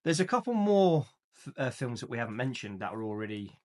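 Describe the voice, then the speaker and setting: Casual chatty man, medium pitch. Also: very animated, a little fast, a little mellow. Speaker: podcast co-host. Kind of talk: casual film and games discussion.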